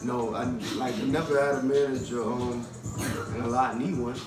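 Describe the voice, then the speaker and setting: A man's voice: medium pitch, fairly even intonation, normal speed, echoey room, distant mic, over music. A man from Queens with a New York accent, talking loosely in street slang as a rapper interview guest. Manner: laid-back street slang, loose and rambling. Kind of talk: casual interview.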